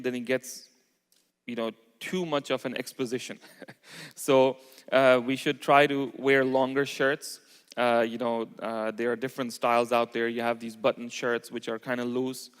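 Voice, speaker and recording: Male lecturer for a congregation talk: informal, explanatory delivery with frequent check-ins, mid-pitched voice, reverberant hall sound. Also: clear, resonant tone. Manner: explanatory, checks in with "okay" and "right". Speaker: Islamic lecturer at a community mosque. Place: large reverberant indoor hall. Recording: echoey hall with long reverb.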